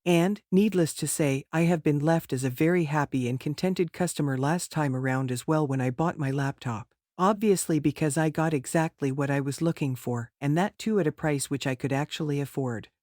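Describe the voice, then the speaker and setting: A man's voice: fairly high pitch, a little mellow, dry recording, studio quality. A man answering mock speaking-test questions in a formal, rehearsed way. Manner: formal, rehearsed, measured delivery. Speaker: test candidate.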